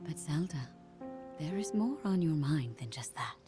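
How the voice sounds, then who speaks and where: A soft-spoken, perceptive queen. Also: low voice, expressive, normal speed. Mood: gentle. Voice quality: soft, breathy, half-whispered. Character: gentle, perceptive ancient queen. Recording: game cutscene over music.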